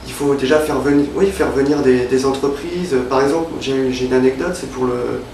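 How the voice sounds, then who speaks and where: A man's voice: mid-range voice, fairly even intonation, fairly quick, vocal fry, darkish tone, recorded from a distance, a little hiss or hum. A young man from Normandy in France, talking casually in an interview, hesitant and full of fillers and restarts. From Évreux, Normandy, France. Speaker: interviewee, freelance illustrator. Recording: roomy, off-mic with faint ambience.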